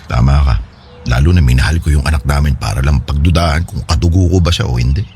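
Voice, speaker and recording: A man's voice: extremely low pitch, fast, a little mellow, slight background noise. A man playing an earnest, regretful separated husband and devoted father in a radio drama, speaking in a confiding, hesitant way. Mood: hurt, confiding. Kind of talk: Filipino radio drama dialogue.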